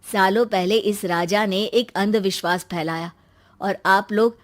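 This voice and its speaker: A woman's voice: mid-range voice, fairly even intonation, unhurried, close to an everyday voice. A woman voicing a curious, stubborn young village man in a cartoon, exclamatory and full of questions. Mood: defiant. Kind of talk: Hindi cartoon voice acting.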